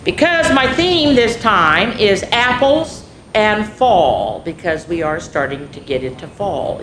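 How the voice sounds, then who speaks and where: A woman hosting a children's story time, reading a picture book expressively, drawing out words and adding casual asides. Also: fairly bright tone. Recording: echoey room with faint rumbling noise.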